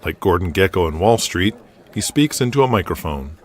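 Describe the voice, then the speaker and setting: A man's voice: low-pitched, lively intonation, fairly quick. A man narrating a scripted audio drama as its storyteller. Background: music.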